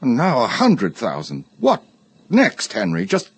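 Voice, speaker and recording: Radio drama judge: middle-aged man, husky, hot-tempered and demanding, with hugely varied intonation. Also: mid-range voice, wildly swooping pitch, a little slow, dry recording, exaggerated voice. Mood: bitter. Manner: curt, indignant, demanding.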